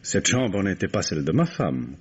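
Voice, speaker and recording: An actor playing a middle-aged count and bereaved husband, hesitant, formal and courteous, in an old radio mystery. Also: male voice, low-pitched, big pitch swings, normal speed, breathy edge, exaggerated voice. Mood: earnest recounting. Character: count, grieving husband, writer, polite suspect being questioned. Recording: dull vintage radio drama recording.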